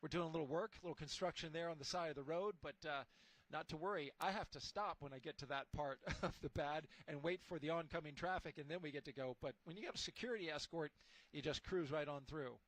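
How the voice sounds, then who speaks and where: Upbeat, somewhat high-voiced male launch commentator. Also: quick pace, no room sound, poor audio. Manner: relaxed, upbeat and conversational. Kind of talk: live broadcast commentary.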